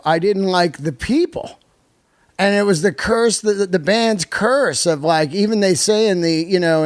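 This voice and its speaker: A man's voice: fairly high pitch, smooth, dry recording. An American man hosting a podcast in casual, slangy conversation, swearing freely. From San Francisco, United States. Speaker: podcast host.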